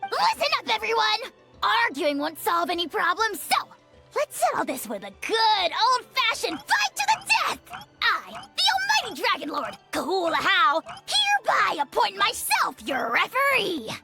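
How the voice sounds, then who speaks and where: A woman voicing a boastful, bratty tiny dragon lord in a video game, pompous, loud and theatrical. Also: very high-pitched, wildly swooping pitch, unhurried, creaky, over loud music, full character voice. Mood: gleeful, boastful.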